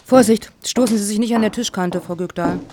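An actress playing an officious foundation official who takes charge in a satirical radio play, firm and businesslike. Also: female voice, medium pitch, fairly quick, noisy background, lightly acted.

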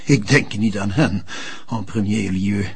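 A man's voice: fairly low pitch, a little slow, exaggerated voice. An actor playing an older Flemish notary who confides and pleads hesitantly, mixing French phrases into his Dutch. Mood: grave, pleading. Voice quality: husky, gravelly. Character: old bourgeois notary, confiding and quietly pleading. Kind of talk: radio or TV drama acting.

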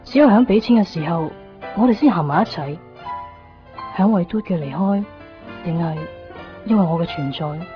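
A woman's voice: on the low side, expressive, moderate pace, pauses often, close to an everyday voice. An actress playing an introspective, guilt-ridden young woman in a Cantonese radio drama, with a Hong Kong accent. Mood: pensive narration. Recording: dull 1990s radio recording, faint music.

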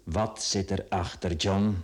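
Low-voiced, stubborn man. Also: steady tone, takes it slowly, darkish tone. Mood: suspicious. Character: proud, stubborn middle-aged man, impulsive but honest. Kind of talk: radio drama acting.

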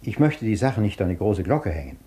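An actor in a German radio play, playing an agitated, imperious gentleman doctor with a formal, demanding, impatient manner. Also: on the low side, sing-song, brisk. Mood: discreet, businesslike.

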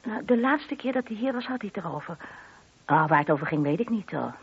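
A woman's voice: mid-range voice, expressive, fairly quick, breathy edge. An actress playing a married woman who is a discreet but gossipy witness, hesitant, confiding and a little defensive in a radio play. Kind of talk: Dutch radio drama dialogue. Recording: muffled lo-fi old radio recording.

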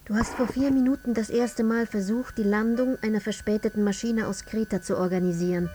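A stern female inquisitor of radio drama , played by an actress, speaks in a very dark, soft-edged tone. She lays out each fact with clinical precision and quiet accusation. Low hum sits behind the voice. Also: steady tone, brisk, close to an everyday voice, poor audio. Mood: clinical, precise. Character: stern female inquisitor retelling a disaster to the dead controller. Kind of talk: scripted radio drama in second person.

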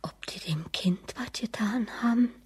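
A woman playing a frightened, distraught wife in a radio drama, with a breathy, husky voice. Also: a little high, unhurried, a little mellow. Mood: anxious. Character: frightened, distraught wife hiding in her flat. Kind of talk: German radio drama acting.

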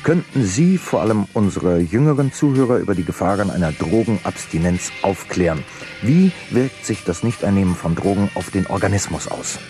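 A man's voice: low voice, animated, moderate pace, music in the background. An actor playing a courteous, slick male talk show host in a satirical radio play, formal and probing. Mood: insistent.

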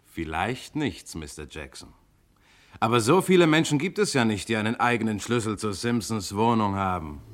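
An actor playing a composed police inspector in a German radio crime drama, questioning suspects with pointed insistence. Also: male voice, lowish voice, expressive, moderate pace, lightly acted. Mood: probing, pointed.

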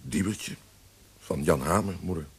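An actor playing an anxious, fickle eighteen-year-old poet in a theatrical radio drama style. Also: male voice, sits low, unhurried, husky, heavily acted. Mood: defensive. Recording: noisy radio play recording with clicks.

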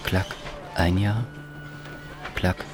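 An actor playing a meek, resigned prisoner of war in a radio drama, understated, with a creaky voice. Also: male voice, low-pitched, steady tone, extremely slow. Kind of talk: radio drama acting, first-person asides. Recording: loud music behind the voice. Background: loud music.

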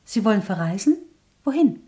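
An actress playing a young variety performer who speaks politely and with wistful restraint in a radio play. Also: female voice, medium pitch, very animated, moderate pace, warm tone, natural voice.

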